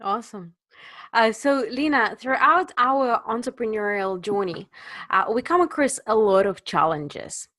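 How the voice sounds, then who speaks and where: Higher-voiced woman hosting an interview. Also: expressive, a little slow, a bit bright, no echo. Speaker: podcast host and interviewer. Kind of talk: conversational business interview.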